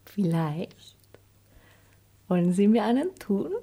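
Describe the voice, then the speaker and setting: A pert, shrewd young maid. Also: female voice, mid-range voice, expressive, slow, mellow, slightly rough. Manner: pert, mocking, coaxing. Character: sharp-tongued young maid, coquettish and shrewd. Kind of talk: radio play acting.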